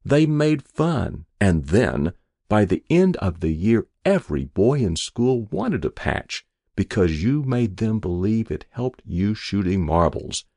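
A man voicing a gentle middle-aged wife at a sickbed, tender and reassuring, in a narrated story. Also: on the low side, big pitch swings, slow pace, dry recording, clean studio sound, heavily acted. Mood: fond, nostalgic.

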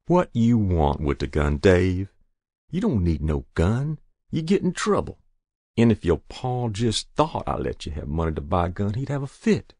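A man's voice: lowish voice, sing-song, moderate pace, vocal fry, darkish tone, husky, dry recording, studio quality, exaggerated voice. A man voicing a stern, exasperated rural mother with a Southern US accent, blunt and dismissive in a story reading. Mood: softer, worried. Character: stern, scolding rural mother, adult woman, exasperated but loving.

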